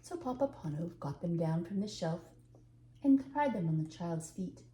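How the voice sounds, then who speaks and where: Older female storybook narrator: relaxed dark tone, expressive reading, roomy recording with some faint noise. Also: fairly low pitch, moderate pace. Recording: echoey room, faint background noise.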